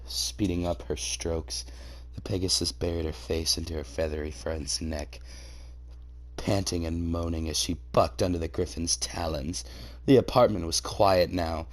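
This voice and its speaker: A man doing a comedic dramatic reading of fan fiction, theatrical and profane, breaking into incredulous high-pitched outbursts.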